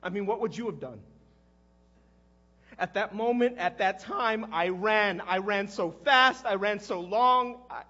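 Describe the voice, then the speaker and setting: An actor playing a guilt-ridden, impulsive fisherman disciple in a halting, conversational dramatic monologue, with a husky male voice. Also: high-pitched, very animated, lo-fi sound, heavily acted. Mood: anguished. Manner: halting, conversational, erupting into shouts. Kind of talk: dramatic first-person monologue.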